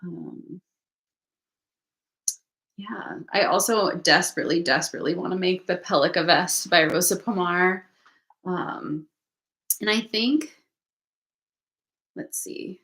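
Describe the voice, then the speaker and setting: A woman with a lowish, gravelly voice chats casually about her craft plans, wandering off mid-thought and circling back. She sounds a little sniffly, talking from a room at home. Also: takes it slowly, long pauses, a bit bright. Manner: rambling, self-interrupting, thinking aloud. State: sniffly from allergies. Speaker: knitting channel host. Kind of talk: casual livestream chat.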